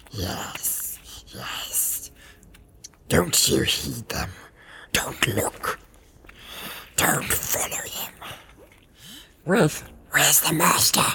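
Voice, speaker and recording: A man's voice: medium pitch, exaggerated voice. A man voicing a wretched, creepy creature in an audiobook, hissing and muttering in broken, repetitive phrases. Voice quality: hissing, creaky whisper. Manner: broken, repetitive muttering, refers to himself in third person. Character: wretched, creepy, cackling male marsh creature guide. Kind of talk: dramatic audiobook character reading.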